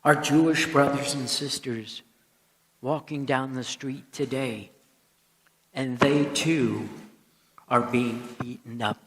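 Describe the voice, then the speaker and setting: Priest guy doing a homily, really slow n solemn, big echoey church. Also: mid-range voice, steady tone, a bit bright. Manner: solemn, emphatic repetition, deliberate pauses. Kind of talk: sermon. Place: large church interior. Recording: echoey church with long reverb.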